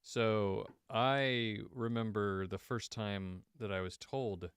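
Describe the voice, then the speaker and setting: A man with a deep baritone voice co-hosting a podcast, easygoing and rambling. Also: fairly even intonation, takes it slowly, smooth, no echo, clean studio sound. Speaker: podcast co-host. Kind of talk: casual chat.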